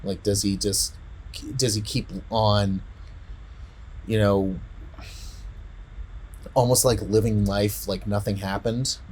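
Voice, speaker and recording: A man in casual podcast chat, retelling a story in a rambling, halting way and often repeating words. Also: on the low side, level tone, a little mellow, slight background noise. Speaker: podcast co-host.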